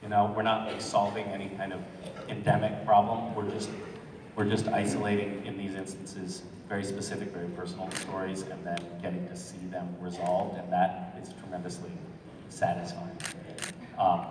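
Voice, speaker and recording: A man's voice: low-pitched, monotone, moderate pace, far from the mic, background noise. Earnest man in an echoey hall. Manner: earnest, reflective, self-deprecating humor. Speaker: convention panel guest. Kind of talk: answering an audience question. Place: large convention hall.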